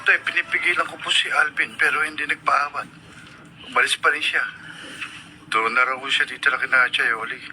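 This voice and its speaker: A man's voice: mid-range voice, steady tone, normal speed, background noise, natural voice. A man playing a concerned, placating older brother in a radio drama, speaking in an earnest, reasoning way. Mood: worried. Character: concerned, placating older brother who defends their father. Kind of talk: Filipino radio drama acting. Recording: radio drama broadcast under loud music. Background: music.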